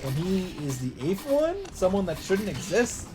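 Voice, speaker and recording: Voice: mid-range voice, very animated, moderate pace, background noise. A let's player reading a visual novel aloud in dramatic narration, breaking off for casual asides and exclamations.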